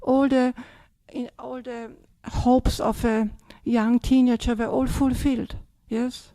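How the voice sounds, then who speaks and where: A woman's voice: fairly high pitch, level tone, slow, dark tone, no room sound. An older woman, a Buddhist nun from Austria with an Austrian German accent, giving a chatty dharma talk that keeps checking in with "yeah?" and "yes?".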